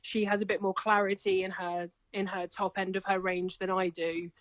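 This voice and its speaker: A woman's voice: medium pitch, little pitch movement, no room sound. Enthusiastic woman, dry close mic. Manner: enthusiastic, gushing, quick to laugh. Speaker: singer and comedian, guest. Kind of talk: casual interview chat.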